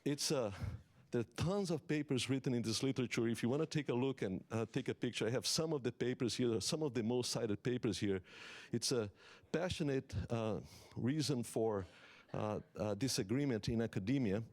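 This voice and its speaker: A man's voice: mid-range voice, moderate pace, a little mellow. Man giving a conference talk. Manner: earnest, explanatory, with many fillers and restarts. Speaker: economist giving a summit talk. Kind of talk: conference keynote lecture.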